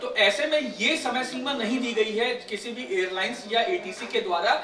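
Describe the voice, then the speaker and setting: A male news reporter giving a live report, halting and repeating himself as he searches for words. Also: extremely high pitch, sharp tone. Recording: echoey room, distant from mic.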